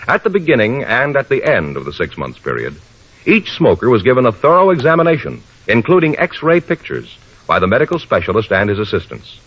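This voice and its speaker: A man's voice: medium pitch, wildly swooping pitch, husky. A male announcer with an American accent reads a formal, scripted 1950s radio advertisement. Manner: formal, measured phrasing. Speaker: commercial announcer. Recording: dull old radio recording.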